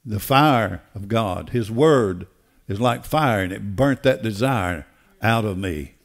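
An older man preaching a sermon in an emphatic, deliberate way, repeating phrases for weight. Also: lowish voice, expressive. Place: church auditorium stage. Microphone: headset.

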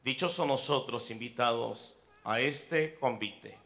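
A priest intones solemnly from an outdoor altar in a plaza. His voice is bright and of middling pitch. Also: slow pace, vocal fry. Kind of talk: liturgical proclamation.

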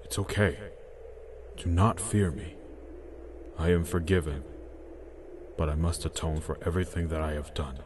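An actor in an audio drama playing a repentant dark lord, a father's ghost, speaking gravely and formally with earnest pleading. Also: rumbling low, fairly even intonation, moderate pace, long pauses, background noise. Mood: gentle, solemn. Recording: music loud behind the voice.

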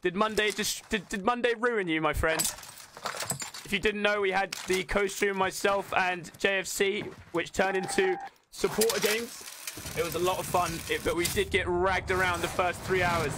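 A British man with a high-pitched voice streams a game and chats casually to his viewers. His banter is crude and full of swearing and jokes. The recording has clear noise behind his voice. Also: fairly even intonation, normal speed. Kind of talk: casual stream chat while gaming.

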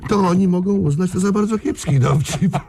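An actor playing a commanding, sly gang leader in a Polish radio crime drama, with a husky, gravelly voice. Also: male voice, sits high, fairly quick, darkish tone, slight background noise. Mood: smug, gleeful.

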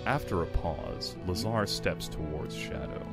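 Deep, slow male narrator over music. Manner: serious, measured reading. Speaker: narrator of an amateur fan fiction reading. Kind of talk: scripted narration of a screenplay read among friends.

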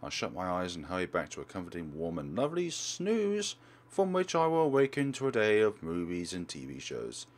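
A man's voice: sits low, wildly swooping pitch, moderate pace. A man hosting a let's play, reading game text aloud and commentating with wry, theatrical asides. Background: game music.

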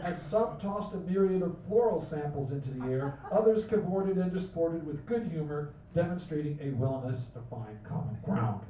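A man's voice: a little high, animated, moderate pace, hardly any pauses, slight background noise. An older man reading his poem at a cafe open mic, chanting slogans and dropping to near whispers. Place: small cafe at night, indoors. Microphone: stand mic from a far camera. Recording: roomy, distant, dull livestream audio.

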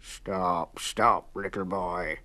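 Man growling as a slow, sly old fox. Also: low-pitched, steady tone, pushed and bright, voice pushed down, heavily acted. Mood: coaxing. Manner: slow, drawn-out. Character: sly old male fox, coaxing predator.

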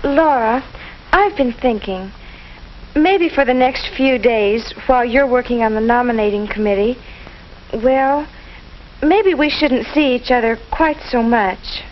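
A young woman playing a cautious, sensible teenage girl in a 1950s school film, speaking in a careful, gentle way. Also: on the high side, measured pace, close to an everyday voice. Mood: worried. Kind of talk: 1950s educational film acting. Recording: muffled old film soundtrack with hiss.

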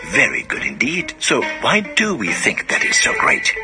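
Male advert voice-over, medium pitch, very varied intonation, music underneath. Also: huge pitch swings, normal speed. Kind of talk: scripted radio advert.

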